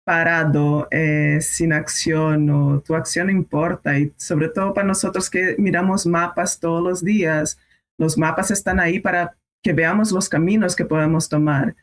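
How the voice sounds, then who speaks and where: A woman whose first language is Portuguese, a guest on a podcast, speaking in halting phrases and searching for words. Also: low-pitched, fairly even intonation. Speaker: guest astrologer. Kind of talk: casual podcast conversation.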